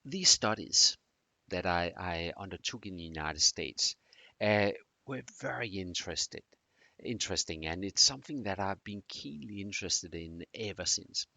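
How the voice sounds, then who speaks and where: A middle-aged man from Denmark with a Danish accent, introducing a course in a halting way with many fillers. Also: low-pitched, expressive, vocal fry, bright, forceful tone, dry recording. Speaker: teacher.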